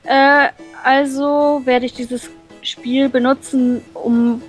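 A woman reading a visual novel aloud and translating it on the fly, halting and full of fillers. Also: high-pitched, little pitch movement, soft background music, poor audio. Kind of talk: casual let's-play reading.

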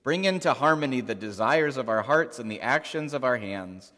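A man leading a congregation through the creed and prayers with measured, liturgical recitation. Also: medium pitch, lively intonation, bright, forceful tone. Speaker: worship leader. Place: large church sanctuary. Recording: long church reverb.

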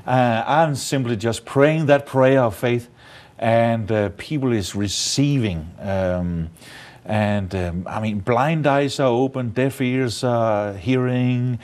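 Danish accent man, studio guest, lapel mic, halting speech, mid pitch. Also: darkish tone. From Denmark. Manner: halting, many fillers, non-native grammar. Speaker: evangelist guest. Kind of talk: interview testimony. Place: studio set, indoors.

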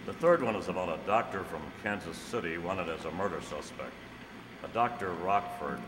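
Man acting a low-voiced masked cowboy hero. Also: animated, moderate pace. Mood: grave, thoughtful. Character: resolute masked cowboy hero, earnest and commanding. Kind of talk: old-time radio drama acting, read from script. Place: stage in a hall. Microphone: stand microphone. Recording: echoey hall, noisy room ambience.

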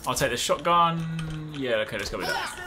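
A man in his thirties hosts a gaming video with lively, swinging intonation. He chats casually and excitably as he plays, repeating short phrases, with game music clearly behind him. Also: a little high, normal speed. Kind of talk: casual gameplay commentary. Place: indoors at a desk, gaming setup.

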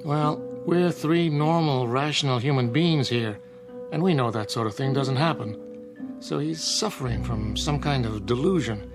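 A small-town doctor in a radio drama, authoritative and skeptical. His delivery is measured and reasoning, mid-pitched, with clear music behind him. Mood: reasoning, grave.

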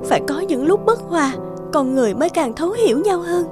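A woman voicing a proud, misunderstood young dark fairy in a cartoon, speaking in a haughty, dramatic and emphatic way. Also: very high voice, animated, fast talker. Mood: earnest, hurt. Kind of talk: animated fairy tale dubbing. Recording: music behind the voice.

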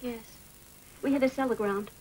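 A woman's voice: a little high, moderate pace, a little mellow, slightly rough, rough recording. An actress playing a chatty female nun from Ireland in a 1940s film. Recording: old film soundtrack with faint hiss.

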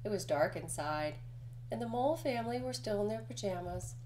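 A woman reading a children's picture book aloud as narration, with expressive storytelling delivery. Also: medium pitch, brisk, a little hiss or hum, low-quality recording. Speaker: storybook reader.

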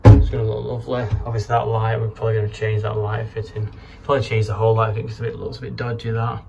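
A man's voice: on the low side, little pitch movement, fast, gravelly, muffled recording. A man in his thirties giving an informal, matter-of-fact, rambling walk-through vlog narration on a handheld camera indoors. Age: 30s. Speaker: home renovation vlogger. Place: rooms of a house, indoors. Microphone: handheld camera or phone at arm's length. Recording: noticeable background noise, handheld camera indoors.

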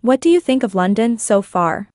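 A woman with a fairly high voice reads dialogue lines for a language lesson. She is clear and careful and says every line twice. The sound is dry and close. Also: big pitch swings, moderate pace, a little mellow, no echo. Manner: clear, careful reading, each phrase said twice. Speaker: voice in an English lesson.

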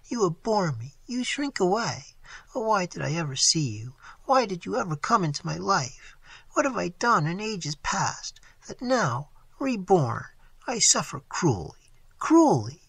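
A man voicing an earnest, tearful young woman with a soft, sighing, pleading delivery in a storybook reading. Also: a little high, sing-song, unhurried, slightly rough, dry recording, heavily acted. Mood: anguished. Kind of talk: dramatic audiobook character reading.

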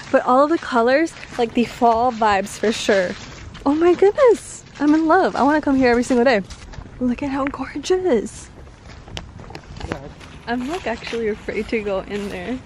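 A woman's voice: fairly high pitch, a little mellow. A young woman in her twenties vlogging casually, chatty and upbeat, talking into a handheld camera as she walks around. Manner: chatty, upbeat, easily delighted. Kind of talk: casual vlog narration. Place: indoor shopping mall and outdoor street. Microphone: handheld compact camera at arm's length. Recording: noisy mall and street ambience.

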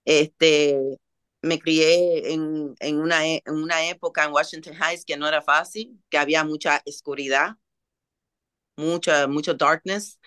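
A woman's voice: deep voice, a bit bright, clean tone, no room sound, clean studio sound. A Dominican woman in her sixties with a Dominican Spanish accent, telling her life story candidly as a guest over a video call and slipping English words into her Spanish. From Dominican Republic. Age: 60s. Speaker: guest, holistic healing center owner. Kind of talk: personal story in an interview.

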